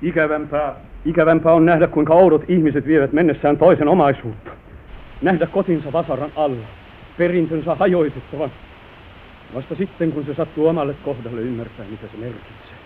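A man's voice: on the high side, a little hiss or hum, close to an everyday voice. A male actor playing a brooding, remorseful lieutenant and landowner in a grave, declamatory manner in an old radio play. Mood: bitter regret. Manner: declamatory, grave, self-reproaching. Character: remorseful, brooding lieutenant losing his family manor. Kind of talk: old radio drama acting. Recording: old narrow-band radio recording.

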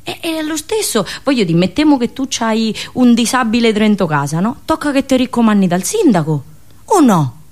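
A woman's voice: fairly high pitch, sing-song, moderate pace, darkish tone, voice pushed up. A woman voicing a resigned village local in colloquial central Italian dialect, coaxing the listener with tag questions. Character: resigned village local shrugging at petty favouritism.

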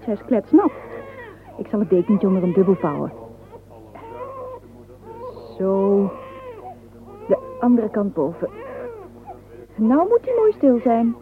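A woman's voice: fairly high pitch, sing-song, unhurried, pauses often, a crowd in the background. An actress playing a busy, fussing young woman minding a baby in a radio drama. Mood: fussing, soothing. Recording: tinny old radio recording.

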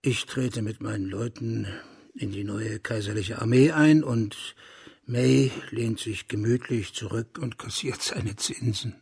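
An actor playing a burly, blunt and garrulous hunter from an old landed family in a German radio drama, with a gravelly, husky voice. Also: male voice, lowish voice, measured pace, a little mellow, exaggerated voice. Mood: garrulous, nostalgic. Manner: blunt, rambling, earthy, self-correcting.

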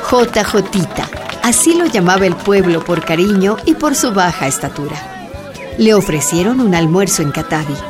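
A woman's voice: medium pitch, expressive, normal speed, music in the background, crowd noise. A woman reading scripted narration for a historical radio drama.

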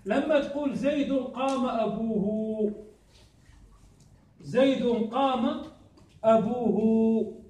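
A man's voice: very high-pitched, slow pace, pauses often, mellow. A man teaching grammar to a class, often stopping to quiz his students with prompt questions they answer aloud. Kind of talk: classroom lesson. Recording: echoey room, distant mic.

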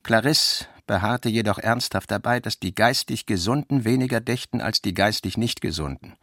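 A man narrating a literary radio play in a measured, expressive storytelling manner. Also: lowish voice, dry recording, studio quality.

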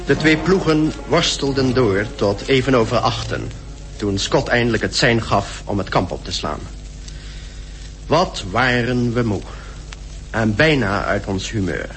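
An actor playing a weary British polar expedition member who reflects on the journey in a measured radio drama monologue. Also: male voice, mid-range voice, lively intonation, fairly bright tone, soft background music. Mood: weary, relieved.

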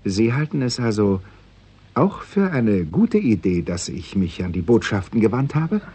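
A man's voice: on the low side, very animated, mellow, husky, heavily acted. An actor playing a sly, self-satisfied male intelligence chief in a 1960s German radio play, wry and teasing. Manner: wry, teasing, deliberately drawn-out.

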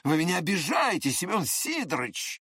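A man voicing a spiteful, pushy young swindler with an irritable, scornful, excitable manner in an audiobook. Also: high-pitched, moderate pace, slightly rough, no echo, studio quality, voice pushed up, heavily acted. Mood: offended. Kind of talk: dramatized audiobook character voice.

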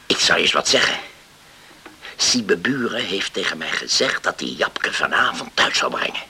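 Stern, gravelly-voiced stubborn father. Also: deep voice, very animated, sharp tone, a little hiss or hum, heavily acted. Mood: stern, unyielding. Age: middle-aged. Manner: curt, deliberate, unyielding. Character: stern, stubborn island father guarding his daughter. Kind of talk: radio play acting.

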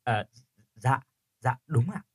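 A man's voice: mid-range voice, animated, slow, slightly rough. A man voicing a feisty young woman in an audio story, blunt with friends and polite to elders. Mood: polite, curious.

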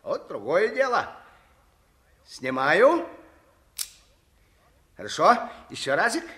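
Blustering male poacher, bright forceful voice, very animated, smug, radio play. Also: high-pitched, slow, full character voice. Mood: jovial, bossy. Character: smug, blustering poacher posing over his kill. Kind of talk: Soviet radio play acting.

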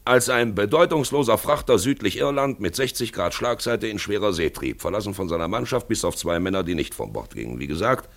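A man's voice: fairly low pitch, lively intonation, a little fast, few pauses, fairly bright tone. A man playing an eloquent narrator in a 1950s German radio play, addressing the listener directly in a dramatic, rhetorical way. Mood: dramatic, reflective. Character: eloquent male narrator guiding the listener through a drab town.

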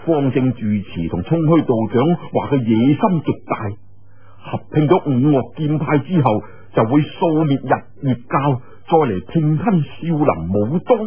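A man with a Cantonese accent telling a martial arts story in a theatrical, colloquial, expressive way. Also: medium pitch, unhurried. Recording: muffled narrow-band radio-like sound.

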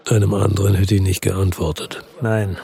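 An actor playing a world-weary, bitter crime investigator who narrates and talks tersely in a radio drama, with a gravelly, husky, deadpan voice. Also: male voice, sits low, moderate pace. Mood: matter-of-fact. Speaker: first-person narrator and lead character in a radio drama.

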